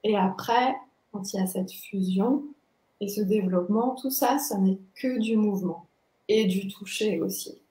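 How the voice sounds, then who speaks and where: A young woman explaining her practice as a guest teacher, thoughtful and halting as she searches for words. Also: mid-range voice, warm tone. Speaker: body-movement teacher, interview guest. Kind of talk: explanatory interview talk. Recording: echoey, roomy sound.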